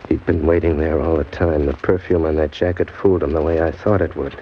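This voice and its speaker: A man's voice: a bass voice, fairly even intonation, a little fast, slight background noise. An actor playing a cynical, slangy hardboiled criminal who tells his own crime story in an old-time radio drama. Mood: grim, matter-of-fact. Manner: tough, wry, slangy. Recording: dull old radio recording, music behind.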